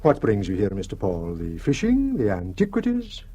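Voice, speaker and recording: A man's voice: lowish voice, huge pitch swings, moderate pace, dull audio, background noise. An actor playing a courteous middle-aged Shetland father with a Shetland Scots accent, welcoming a guest in a radio drama. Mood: hospitable. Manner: courteous, old-fashioned island speech. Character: hospitable older Shetland crofter father. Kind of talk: 1960s radio drama acting.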